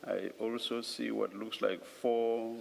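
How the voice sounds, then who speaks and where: Slow, gravelly, low-voiced man chairing a hearing. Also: flat delivery, a little hiss or hum. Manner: hesitant, repeats words while thinking aloud. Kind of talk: formal questioning from the bench. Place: hearing room.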